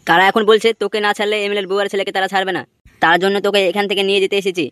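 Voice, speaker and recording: A man's voice: high-pitched, flat delivery, very fast, a bit bright, smooth, no room sound. A man voicing a brusque, scornful henchman in a comedy cartoon, taunting as he talks. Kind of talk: amateur comedy cartoon dubbing.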